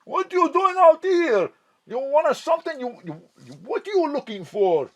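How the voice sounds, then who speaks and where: A man's voice: very high voice, big pitch swings, normal speed, fairly bright tone, exaggerated voice. A man playing a chatty Greek landlord with a Greek accent, cheeky and jokey, in broken English. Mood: jovial. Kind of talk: audio drama acting.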